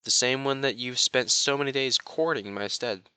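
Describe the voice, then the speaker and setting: A man playing a courtly young crown prince, besotted and quietly possessive, speaking formally and floridly to the listener in a romantic audio roleplay. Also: medium pitch, moderate pace, clear, resonant tone, no room sound. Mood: smug, adoring. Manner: formal, flowery, genteel speech.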